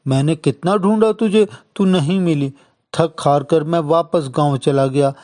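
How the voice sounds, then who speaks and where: Man playing a tender, higher-voiced village father. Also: a little slow, darkish tone, close to an everyday voice. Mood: relieved, tender. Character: loving village farmer father, earnest and weary. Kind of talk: children's moral story dramatization.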